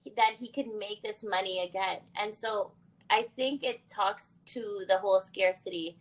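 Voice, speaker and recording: A young adult woman hosting a conversational interview podcast, animated and reflective, laughing often. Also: medium pitch, moderate pace, poor audio. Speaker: podcast host.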